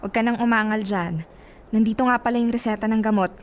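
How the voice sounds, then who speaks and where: A woman's voice: a little high, steady tone, fast, close to an everyday voice. An actress playing a friendly, insistent, playful young woman in a radio drama, breezy and casual, with a Filipino accent. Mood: helpful, insistent.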